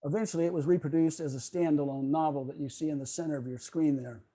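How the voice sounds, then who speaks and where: Mellow, higher-voiced man giving a talk. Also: steady tone, quick pace. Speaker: presenter. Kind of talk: prepared talk on radio history. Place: online video meeting.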